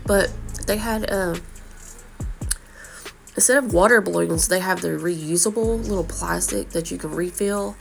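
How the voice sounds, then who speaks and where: A woman's voice: fairly low pitch, unhurried, vocal fry, pauses often, soft background music. A woman in her thirties, stuffy from a cold, chatting upbeat about products in a casual shopping vlog voice-over. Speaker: vlogger.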